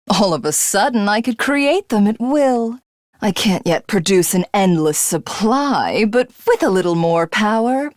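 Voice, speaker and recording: A woman's voice: medium pitch, no room sound, clean studio sound. A woman playing a haughty, megalomaniac female villain in a video game, grandly savouring each phrase as she gloats. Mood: haughty boasting.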